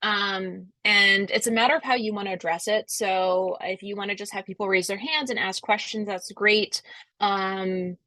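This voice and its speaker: A woman with a Rhode Island accent hosts a webinar over a video call. Her voice sits at an ordinary mid pitch and sounds dry and close. She talks in an easygoing, reassuring way, full of little fillers. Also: fairly even intonation, normal speed, sharp tone, no room sound. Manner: friendly, chatty, reassuring, many fillers. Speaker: webinar host, conference organizer. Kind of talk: casual chat, answering questions.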